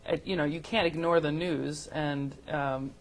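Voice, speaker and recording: A woman's voice: sits low, fairly even intonation, a little slow. A woman in her thirties, a filmmaker guest on a talk show, talking casually and hesitantly with many restarts. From United States. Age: 30s.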